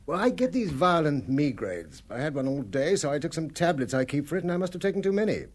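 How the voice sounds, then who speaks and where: An actor playing a brisk, touchy British gentleman in a radio mystery, polite but clipped. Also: fairly high pitch, sing-song. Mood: dismissive. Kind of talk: British radio drama acting.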